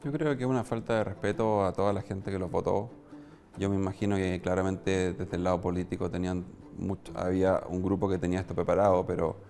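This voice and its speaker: A young man with a Chilean accent giving his opinion as an interview guest, halting and repeating words as he searches for the next one. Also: deep voice, creaky, warm tone. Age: young adult. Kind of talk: spontaneous opinion.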